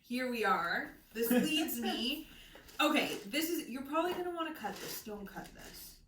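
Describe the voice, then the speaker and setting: A woman with a bright, crisp-edged voice and medium pitch co-hosts a casual book discussion. She is playful and opinionated. Her voice comes from a little way off the mic in a very echoey room. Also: animated, moderate pace, a little hiss or hum. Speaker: co-host of a book discussion show. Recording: echoey room, distant microphone.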